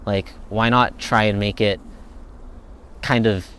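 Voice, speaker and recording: A man's voice: lowish voice, steady tone, slow pace, a bit bright, a little hiss or hum. A young man answering viewer questions in a casual, rambling chat, thinking aloud as he goes. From United States. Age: young adult.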